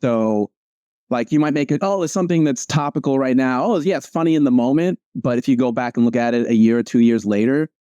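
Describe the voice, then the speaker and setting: A man, a comic strip creator, chatting casually as a guest, relaxed and filling his talk with "like" and "um". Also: medium pitch, a little fast, smooth, no echo, dull audio. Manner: relaxed, frequent "like" and "um". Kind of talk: casual conversation.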